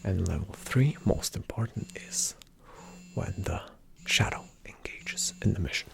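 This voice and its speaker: Slow, whispering man in ASMR roleplay. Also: low voice, expressive. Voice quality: soft, breathy whisper with some creak. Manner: slow, hushed, deliberate instruction. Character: calm, attentive male spy agent briefing a partner.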